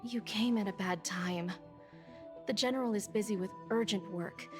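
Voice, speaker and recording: A woman's voice: medium pitch, moderate pace, close to an everyday voice. A woman playing a dutiful, world-weary military officer in a video game, explaining things matter-of-factly. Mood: grave, weary. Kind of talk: English video game dialogue. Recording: game audio with music behind.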